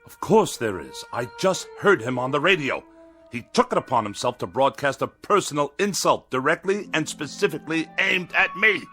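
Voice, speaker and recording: An actor playing a haughty, indignant middle-aged misanthrope with a sarcastic, biting manner in a radio drama. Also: male voice, fairly high pitch, sing-song, normal speed, sharp tone, faint music behind. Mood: haughty, demanding. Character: pompous, irascible middle-aged misanthrope writer.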